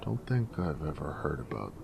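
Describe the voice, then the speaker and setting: A man playing a snarky but friendly ghoul companion in an audio drama, with a gravelly voice and a wry, joking drawl. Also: rumbling low, sing-song, moderate pace, crowd noise, heavily acted. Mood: wry, knowing. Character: snarky, friendly male ghoul wasteland companion, weathered and irradiated. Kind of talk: character roleplay audio drama.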